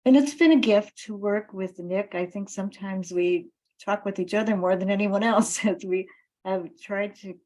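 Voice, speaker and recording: A woman activist giving an informal campaign talk, speaking in deliberate, halting phrases with emphatic stresses on key words. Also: medium pitch, a little mellow.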